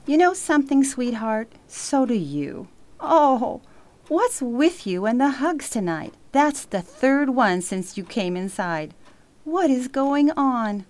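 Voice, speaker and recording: A woman playing a loving, bustling grandmother in a sentimental radio play, expressive, chatty and affectionately teasing. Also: high voice, slow, exaggerated voice. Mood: tender.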